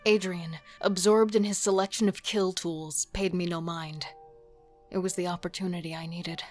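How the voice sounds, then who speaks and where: A woman's voice: fairly low pitch, steady tone, moderate pace, faint music behind, close to an everyday voice. A woman playing an intense, defiant serial killer devoted to her partner, acting and narrating in a horror audio drama. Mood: grim resolve.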